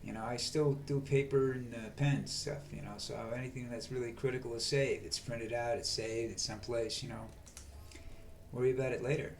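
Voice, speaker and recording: An older man talking on in a slow, monotone ramble, stumbling over restarts and fillers. His pitch sits in an ordinary middle range, and a faint hiss of noise runs under the recording. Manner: halting, many fillers and restarts. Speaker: vlog host. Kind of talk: rambling monologue.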